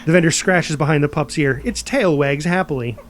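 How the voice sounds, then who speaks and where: A man reading stage directions as dramatic, energetic narration for a superhero screenplay, punching out the action. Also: on the high side, fairly quick, a little hiss or hum. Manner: energetic, punchy sound-effect words.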